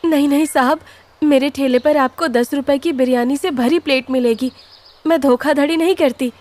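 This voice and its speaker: A woman's voice: high-pitched, fairly even intonation, normal speed, darkish tone, lightly acted. A woman voicing a devoted, anxious and honest village wife in an audio story. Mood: earnest.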